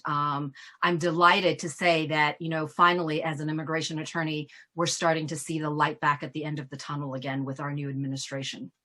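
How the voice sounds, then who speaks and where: A middle-aged woman from Ghana, an immigration attorney answering questions in an earnest, thoughtful way with frequent pauses. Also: deep voice, bright, forceful tone. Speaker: immigration attorney, guest speaker. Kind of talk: Q&A conversation.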